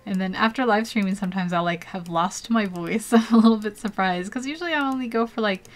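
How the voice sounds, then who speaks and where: A woman's voice: mid-range voice, fast. Young woman, art livestream host, chatty and giggly, rambles between steps, desk mic at home. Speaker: art streamer. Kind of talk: casual live-stream tutorial chat.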